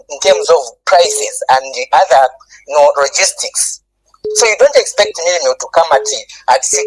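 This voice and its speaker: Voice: mid-range voice, breathy edge. A Zambian caller on a radio phone-in argues about prices in a preachy, insistent way. The voice comes over a phone line and keeps returning to the same appeal to work hard. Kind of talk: phone-in call on food prices.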